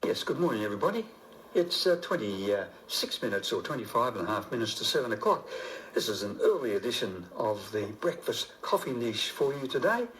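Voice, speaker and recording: Older Australian radio man, gentle and halting. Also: medium pitch, sing-song, reverberant, slight background noise, rough recording. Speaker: community radio presenter. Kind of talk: easygoing live radio chat.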